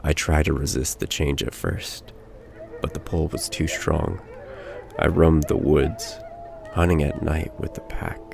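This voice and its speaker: Man voicing a very deep, bitter cursed young man. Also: fairly even intonation, measured pace, creaky, background noise, heavily acted. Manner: grave, confessional storytelling.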